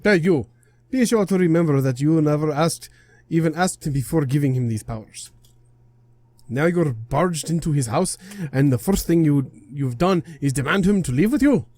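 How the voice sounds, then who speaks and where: A man's voice: on the high side, expressive, normal speed, warm tone. A man voicing a haughty, protective guardian god from a video game, scolding in formal, emphatic speech. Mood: indignant. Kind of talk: video game dialogue read aloud.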